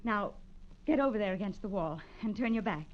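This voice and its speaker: An actress playing a brisk, secretive femme fatale who stays cool under pressure, in 1940s radio style. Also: female voice, mid-range voice, expressive, close to an everyday voice. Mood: coldly threatening. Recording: dull, faintly noisy old radio recording.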